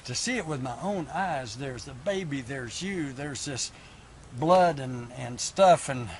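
A man's voice: medium pitch, lively intonation, unhurried, noisy background. A man playing a flustered frontier cowboy who has just become a father. He speaks with a homespun, folksy drawl. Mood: awed. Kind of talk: one-man stage monologue.

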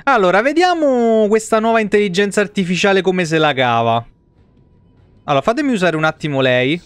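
A young man doing casual, animated live game commentary in slangy language with frequent swearing. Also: high-pitched, fairly quick, clear, resonant tone, no room sound. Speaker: game streamer.

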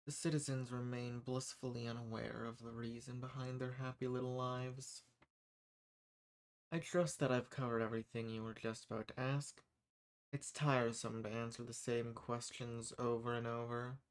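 A man voicing an arrogant, menacing archdemon in a dramatic audio roleplay, speaking in a condescending, theatrical, unhurried manner. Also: medium pitch, level tone, close to an everyday voice. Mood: bored, impatient. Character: arrogant, menacing male archdemon who strikes bargains.

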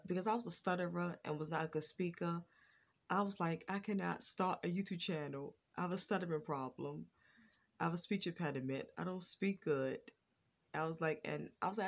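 A woman vlogger talking casually to her phone camera, stuttering and restarting her words. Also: on the low side. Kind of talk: casual reaction vlog. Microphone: phone front camera.

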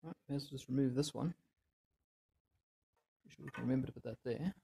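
A man giving casual hands-on DIY commentary, muttering and thinking aloud as he works, with a gravelly, slightly husky voice. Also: mid-range voice, a little fast, dark tone, a little hiss or hum. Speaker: DIY video maker. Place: indoors in a home room.

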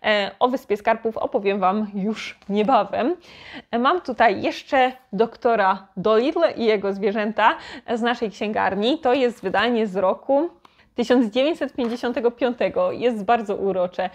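A woman's voice: fairly high pitch, normal speed. Chatty woman, casual book vlogger. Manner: chatty, informal, thinking aloud. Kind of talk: casual chat to viewers.

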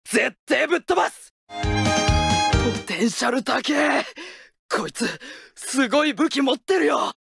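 A man's voice: very high voice, vocal fry, full character voice. A man playing an earnest, intense young male baseball player in an anime-style game.

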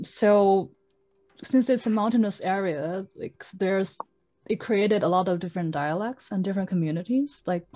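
Woman from southeast China, lower pitch, relaxed explaining, some fillers, video call. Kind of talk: casual explanatory chat.

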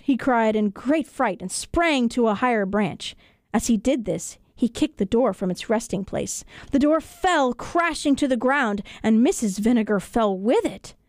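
Woman, children's storyteller, higher voice, expressive scripted reading, dry studio sound. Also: no echo. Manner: expressive, acts out dialogue. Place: radio studio.

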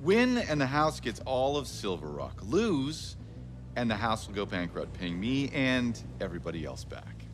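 A man's voice: medium pitch, huge pitch swings, unhurried, soft background music. Swooping, smug male casino boss. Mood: sly.

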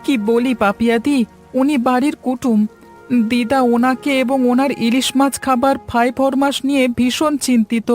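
A woman's voice: sits high, steady tone, normal speed, a little mellow, faint music behind, close to an everyday voice. A woman voicing an earnest, polite and hardworking poor village boy in a cartoon story. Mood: worried.

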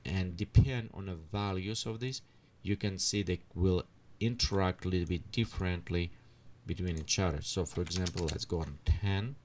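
A man with leading a software tutorial at his computer, thinking aloud as he adjusts settings. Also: sits low, fairly even intonation, slight background noise. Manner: unhurried, thinks aloud while clicking through settings. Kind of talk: screen-recorded software tutorial.

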